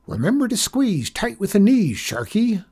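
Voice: medium pitch, big pitch swings, moderate pace, dark tone, breathy edge, voice pushed up. A narrator voicing an exuberant male school janitor who hollers and coaches like a folksy rodeo cowboy in a children's story. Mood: coaching, folksy.

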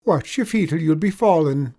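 A man doing a sly high-voiced leprechaun. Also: expressive, normal speed, vocal fry, warm tone. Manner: high wheedling Irish brogue. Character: sly little male leprechaun cobbler, tricky.

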